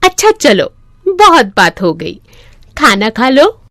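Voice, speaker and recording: Woman voicing an affectionate, animated storybook mother. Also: on the high side, slow pace, dry recording, studio quality, full character voice. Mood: fond scolding. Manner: affectionate, chiding. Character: loving, encouraging working-class mother, fondly scolding.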